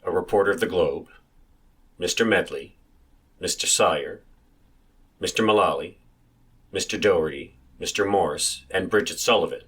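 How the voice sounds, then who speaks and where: A man's voice: deep voice, normal speed, pauses often, a bit bright, husky. A man playing a stubborn nineteenth-century police officer on the witness stand in a dramatic reading, giving terse, evasive, formal answers. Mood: guarded. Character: guarded, stubborn male police officer testifying in 1893. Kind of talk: dramatic reading of a courtroom transcript.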